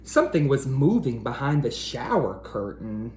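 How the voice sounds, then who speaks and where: A man hosting a read-aloud for children, speaking directly to them with questions and drawn-out emphasis. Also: medium pitch, sing-song, a little mellow, a little hiss or hum. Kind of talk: children's picture-book read-aloud and chat.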